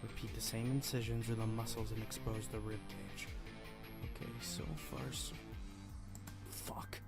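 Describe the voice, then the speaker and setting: A man's voice: fairly low pitch, level tone, measured pace. A man playing an obsessive, cold and irritable killer in a visual novel, speaking intently and often slipping into whispers. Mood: concentrated, impatient. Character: obsessive male killer, studiously copying another murderer's methods, cold and irritable. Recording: music playing behind the voice. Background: music.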